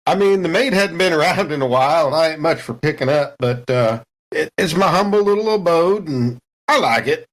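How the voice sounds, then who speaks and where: A man's voice: a little high, animated, brisk, few pauses, fairly bright tone, pitched above the performer's own voice. A man voicing Bosco, a laid-back, sloppy space trucker with a folksy, drawling manner. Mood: easygoing.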